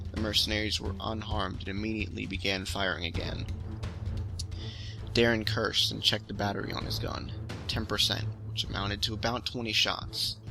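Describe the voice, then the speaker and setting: Low-voiced man with a creaky edge, music behind. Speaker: storytelling host. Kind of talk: reading own short science fiction story aloud. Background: music under the voice.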